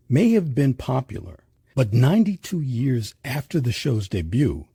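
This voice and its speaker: A man reading scripted history documentary narration in a measured, emphatic way. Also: mid-range voice, warm tone, husky, no room sound, studio quality. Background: music.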